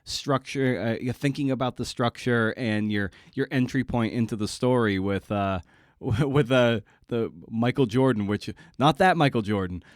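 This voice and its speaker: An American man hosting a casual conversational interview, speaking in a halting way and often repeating words as he works toward his point. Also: mid-range voice, dry recording, studio quality. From Massachusetts, United States. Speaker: podcast host interviewing a writer.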